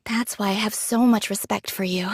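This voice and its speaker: A woman's voice: mid-range voice, steady tone, moderate pace, breathy edge, no room sound, clean studio sound. An actress playing a modest, pragmatic young female android soldier in an anime game, talking in a casual, thoughtful, matter-of-fact way. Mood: gentle, earnest.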